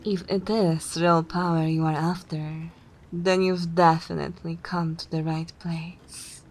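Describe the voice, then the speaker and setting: A woman's voice: lowish voice, darkish tone. A woman playing a haughty, seductive vampire mistress in an ASMR roleplay, teasing and self-assured in a theatrical way. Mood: coolly intrigued.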